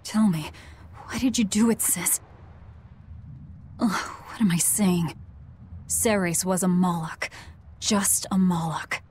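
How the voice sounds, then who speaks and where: Brooding, curt young avenger. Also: female voice, fairly low pitch, steady tone, takes it slowly, pauses often, a little mellow, a little hiss or hum. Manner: terse, hard-edged.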